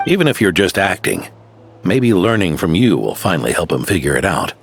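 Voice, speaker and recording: A low, deep voice belonging to an old knight, gentle and weary. His pitch moves expressively as he reflects and sighs through this game scene. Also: very animated, fairly quick, faint music behind. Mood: reflective. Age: older. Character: aging veteran knight, weary and kindly. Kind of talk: video game cutscene dialogue.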